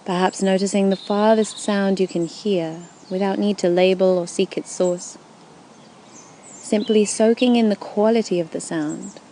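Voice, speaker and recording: A woman guiding a yoga nidra meditation in a soothing, unhurried way with gentle, deliberate phrasing, recorded outdoors. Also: medium pitch, steady tone, a little hiss or hum. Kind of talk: guided meditation. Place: outdoors in a forest at dusk. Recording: outdoor recording with faint birdsong. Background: birdsong and forest ambience.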